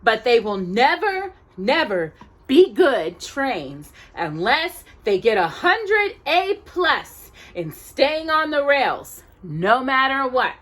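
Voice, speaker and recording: A woman reading a children's story aloud expressively, as if to young listeners, pausing to check in with them. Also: high voice, sing-song, fairly bright tone. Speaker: storytime reader.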